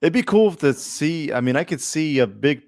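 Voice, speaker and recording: A man's voice: fairly high pitch, animated, moderate pace, dry recording, clean studio sound. A man co-hosting a podcast in loose, casual conversation. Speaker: podcast co-host. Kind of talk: casual chat.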